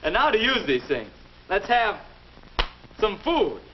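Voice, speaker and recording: A man's voice: high-pitched, sing-song, slow, exaggerated voice. An actor playing a confident young man of twenty-one, patiently teaching in the scripted style of a 1950s instructional film. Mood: brisk, encouraging. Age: young adult. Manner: didactic, crisp scripted reassurance. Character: confident 21-year-old man, patient mentor to his younger self. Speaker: mentor and explainer. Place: indoor film set. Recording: dull, noisy old 1950s film soundtrack.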